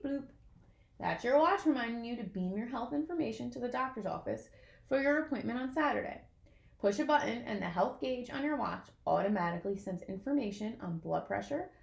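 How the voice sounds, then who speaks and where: A woman reading a children's picture book aloud in an expressive way, as if to young listeners. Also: a little high, gravelly, roomy sound. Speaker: storybook reader.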